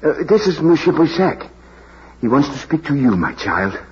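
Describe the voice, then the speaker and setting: An actor playing a kindly, courteous priest in an old radio drama, speaking in a polite, paternal way. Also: male voice, a little high, very animated, normal speed, husky, heavily acted. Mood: gentle, courteous. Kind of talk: 1940s radio drama acting. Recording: muffled, noisy old radio broadcast.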